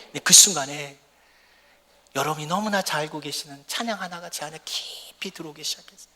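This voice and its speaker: A hoarse man preaches fervently as a missionary, his high, bright voice pushing hard as he presses his point. The sound rings out with the long reverb of a church sanctuary. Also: a little fast. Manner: fervent, impassioned preaching that swells to a shout. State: hoarse from a lingering throat cold. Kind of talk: mission report sermon.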